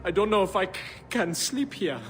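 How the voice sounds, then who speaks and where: An actor playing an anxious, superstitious villager of ancient Egypt in a video game, speaking in a fretful, hesitant way. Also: male voice, high-pitched, lively intonation, moderate pace, big-room sound, faint music behind. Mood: fearful. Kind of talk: video game drama acting.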